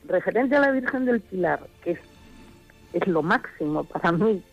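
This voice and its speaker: A woman's voice: on the low side, lively intonation, moderate pace, soft background music. A woman from Chile calling in to a religious radio show, talking in an enthusiastic and rambling way. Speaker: caller. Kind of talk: phone-in call. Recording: muffled phone-line call on radio.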